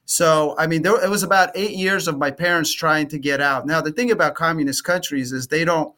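A man in his forties, a guest on an interview podcast, answering earnestly with halting restarts. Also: fairly high pitch, fairly even intonation, sharp tone. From Romania, raised in Atlanta. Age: 40s.

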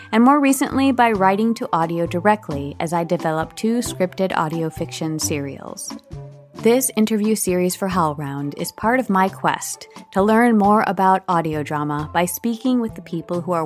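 A woman hosting a podcast, reading a scripted introduction and interviewing a guest. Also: on the low side.

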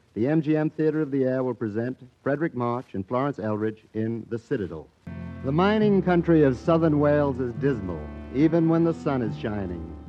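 A man narrating as a 1940s radio drama announcer in a formal, dramatic scripted style. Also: fairly high pitch. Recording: dull old radio broadcast. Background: music.

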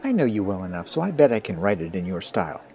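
Low, creaky-voiced wry teenage boy, played by a man. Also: sing-song, quick pace, dark tone. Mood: helpful, wry. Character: ninth-grade boy Mike with a grown man's mind, wry, awkward. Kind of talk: audio drama dialogue.

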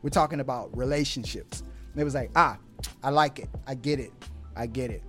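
A man's voice: medium pitch, a little fast, soft background music. A man hosting a podcast, chatting casually and animatedly with slang and frequent laughs. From Houston area resident, now Miami. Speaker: podcast host. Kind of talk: casual chat.

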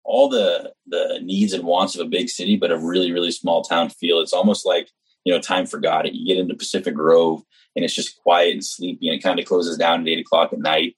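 A man's voice: low-pitched, little pitch movement, a little fast, slightly rough, rough recording. A man from New Hampshire, an executive chef, speaking as a guest in a casual podcast interview with earnest enthusiasm. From New Hampshire, US East Coast. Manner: enthusiastic, earnest, talks in long run-on stretches.

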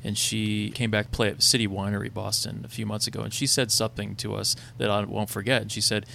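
A low-pitched man with a gravelly voice hosts a relaxed interview. He asks long, thoughtful questions. Faint restaurant noise can be heard behind him. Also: quick pace. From upstate New York. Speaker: host. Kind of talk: casual interview.

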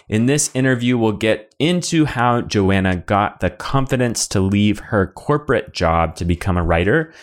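A man hosting a podcast, presenting from a script in an upbeat way and then chatting with his co-host. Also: fairly low pitch.

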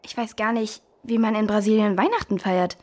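A woman's voice: mid-range voice, normal speed, lightly acted. A woman playing a curious, adventurous young girl in a children's audio drama. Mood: calm. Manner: expressive, exclaiming.

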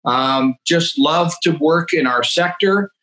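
A man's voice: on the high side, slow, bright, forceful tone, poor audio. A man in his fifties with a Canadian accent, explaining things conversationally as a podcast guest. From northeastern Alberta, Canada. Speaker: podcast guest, agriculture entrepreneur.

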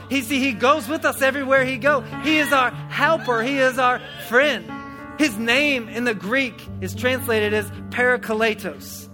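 A man preaching a sermon to a congregation in a conversational way that rises to shouting. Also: high voice. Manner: energetic, conversational, swells to shouting. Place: church hall before a congregation. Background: instrument music.

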